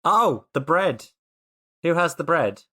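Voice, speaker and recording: A man playing a tabletop roleplay, casually narrating his character's actions in a halting, playful way. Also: a little high, big pitch swings, sharp tone. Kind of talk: improvised first-person game narration, casual.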